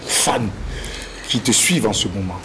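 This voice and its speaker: A man hosting a morning TV talk show in a studio, interviewing a guest with an animated, emphatic delivery. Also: fairly low pitch, a little fast, slight background noise, rough recording. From Burkina Faso. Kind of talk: lively studio chat.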